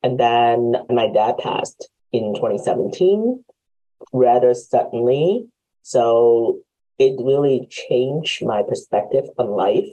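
Very deep, husky-voiced woman host. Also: animated, takes it slowly, mellow, low-quality recording. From Hong Kong. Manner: friendly, laughs often, reflective. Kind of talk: casual interview chat. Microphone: video call.

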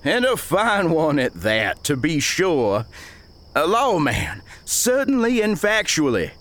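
A man's voice: fairly high pitch, huge pitch swings, slow pace, a bit bright, voice pushed up, full character voice. A man voicing a pompous, long-winded older banker on the frontier, with flowery and ingratiating speech.

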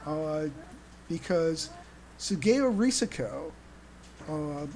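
A podcast host chatting casually in a halting, stammering way, often repeating words. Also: medium pitch, darkish tone, low-quality recording. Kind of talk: casual panel discussion. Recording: noticeable background noise.

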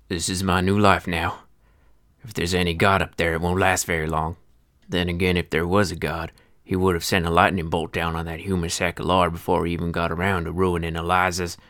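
Male actor as a bitter old Western storyteller in his sixties: deep, low voice, old-time American twang, grumbling and sardonic. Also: a bit bright, dry recording, studio quality. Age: 60s. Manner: grumbling, sardonic, swears freely. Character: bitter foul-mouthed old male feed seller. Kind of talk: first-person storytelling narration.

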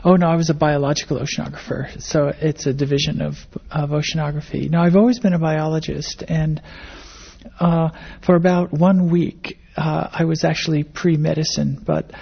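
A woman's voice: low voice, steady tone, normal speed, creaky, slightly rough. An older American woman answering interview questions in a thoughtful, hesitant conversational way, often repeating words as the thought forms. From grew up in Indonesia and the Philippines, American-born. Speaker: interviewee, academic biologist. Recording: dull, muffled lo-fi interview recording.

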